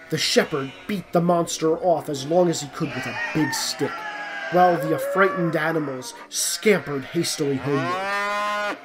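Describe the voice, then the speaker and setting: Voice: medium pitch, a little slow, low-quality recording. A narrator reading a scripted storybook tale. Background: plucked string music.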